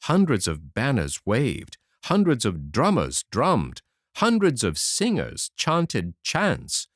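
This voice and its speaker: A man narrating a fairy tale audiobook in a dramatic, expressive reading. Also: mid-range voice, slow pace, dry recording. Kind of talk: scripted storybook narration. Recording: dry close audiobook mic.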